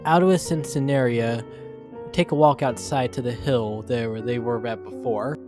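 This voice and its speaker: A man narrates a dramatic story from a script at a medium pitch. He sometimes trips over the text, and faint music plays underneath. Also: moderate pace.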